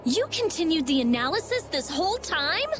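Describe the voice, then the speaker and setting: An actress playing an excitable young fantasy game heroine, exclaiming in a breathless, alarmed way. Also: female voice, extremely high pitch, normal speed, background noise. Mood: amazed. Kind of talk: dramatic video game voice acting.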